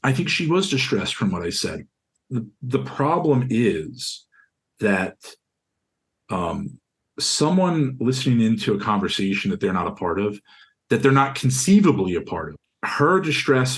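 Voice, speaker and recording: A young man with a deep, carrying voice tells of his own experience in an interview, earnest and stop-start, often repeating words as he searches for the next one. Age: young adult. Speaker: interviewee, university student. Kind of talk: recounting a personal experience in an interview.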